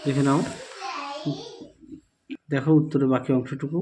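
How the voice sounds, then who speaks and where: A man's voice: mid-range voice, level tone, moderate pace, warm tone, slight background noise. A man teaching students in Bengali, walking through exam answers step by step and repeating his instructions. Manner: repetitive, instructive, addresses students directly.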